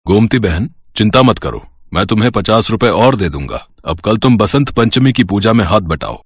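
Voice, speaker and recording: A man's voice: lowish voice, lively intonation, moderate pace, breathy edge, dry recording, studio quality. A man playing a considerate, generous employer who speaks reassuringly in a cartoon story. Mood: helpful. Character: considerate, generous male warehouse boss.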